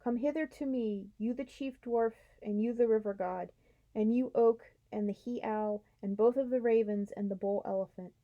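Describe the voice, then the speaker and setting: A woman voicing a wise, majestic male lion in a storybook reading, speaking in a slow, measured way. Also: fairly high pitch, narrow, tinny audio, natural voice. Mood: solemn.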